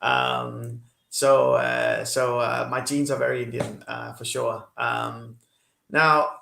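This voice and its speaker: Gravelly man with a Danish-tinged accent. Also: mid-range voice, flat delivery, slow, sharp tone, reverberant, a little hiss or hum. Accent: Danish-influenced. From Kenya. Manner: earnest, many fillers and restarts. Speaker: guest, company CEO. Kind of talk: interview over video call.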